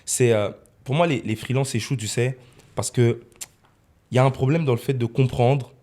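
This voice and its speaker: A man's voice: mid-range voice, normal speed, mellow. A man of twenty-one hosting a casual podcast in a studio, rephrasing his points for emphasis. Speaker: podcast host, freelance video editor.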